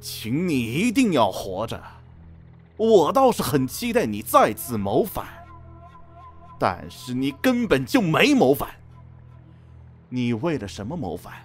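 A man's voice: mid-range voice, huge pitch swings, measured pace, long pauses, soft background music. A man playing a cold, menacing general of ancient China in a costume radio drama, commanding and taunting. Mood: taunting, bitter.

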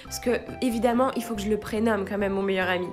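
A woman's voice: mid-range voice, fairly even intonation, fast talker. A woman in her twenties, a young mother, chatting through a cleaning vlog voice-over and praising a product with enthusiasm. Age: 20s. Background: faint background music.

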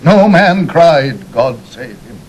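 An actor playing a stern, loyal old English duke in a Shakespearean audio drama, with a British accent and declamatory verse delivery. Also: male voice, big pitch swings, takes it slowly, husky, exaggerated voice. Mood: grave sorrow. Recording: rumbly, noisy vintage 1960s drama recording.

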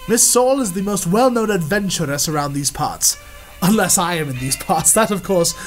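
A man's voice: sits high, a bit bright. An actor playing a pompous, boastful male explorer in the manner of a 1930s radio serial. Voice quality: pompous, theatrical.

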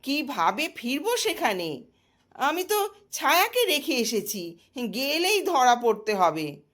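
A woman's voice: high-pitched, sing-song, slow, fairly bright tone, heavily acted. A woman storyteller voicing a distressed young goddess, a weary wife and daughter, in a plaintive, dramatic way. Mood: lamenting, anxious.

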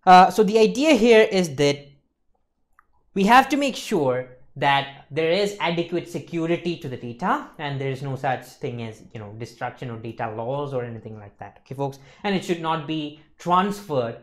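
A man's voice: on the high side, lively intonation, moderate pace. A young man in his twenties giving an exam revision lecture as an instructor, explaining emphatically and often checking that listeners follow. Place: indoor lecture studio with slides.

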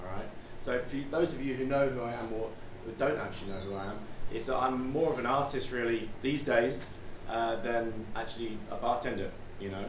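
A middle-aged man with a British accent gives an informal masterclass talk from behind a bar. He feels his way through sentences, repeating words. His voice sits at a medium pitch and is picked up from a distance in a roomy, noisy space. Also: fairly quick, few pauses. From United Kingdom. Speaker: bartender and artist giving a masterclass. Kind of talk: informal live talk to a group. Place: small bar, indoors.

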